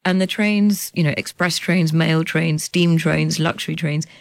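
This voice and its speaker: A woman in her forties talks as an interview guest, her voice carrying a light gravelly edge. She is animated and quick to laugh, her pitch swinging up as she gets excited. The sound is dry and close. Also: no room sound. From United Kingdom. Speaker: journalist and author guest. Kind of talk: conversational interview.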